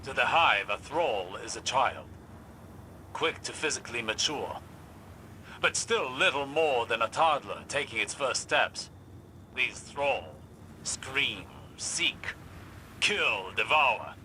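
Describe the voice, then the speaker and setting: A man voicing a grim lore-keeper in a video game, speaking slowly, gravely and deliberately. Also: medium pitch, expressive, sharp tone, a little hiss or hum, exaggerated voice, rough recording. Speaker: game character.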